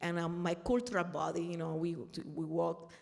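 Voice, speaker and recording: A woman's voice: lowish voice, flat delivery, moderate pace, gravelly, a little mellow. Middle-aged Puerto Rican woman, Spanish accent, earnest panel speaker; reverberant room. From Puerto Rico. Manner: earnest, searching for words, frequent restarts. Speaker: panel speaker, artist and activist. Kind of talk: personal talk at a panel.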